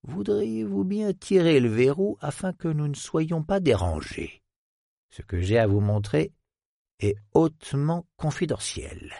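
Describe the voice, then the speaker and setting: A man's voice: mid-range voice, animated, normal speed, dry recording. Man putting on an old male historian, effusive, courtly, conspiratorial, dry sound. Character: jovial, theatrical old male historian professor.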